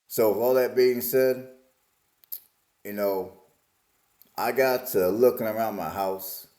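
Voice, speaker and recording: Reflective man in his forties, lowish voice. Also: takes it slowly, mellow, reverberant. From Alabama, US. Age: 40s. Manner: musing, repetitive, plain-spoken. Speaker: vlogger. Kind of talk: casual reflective monologue.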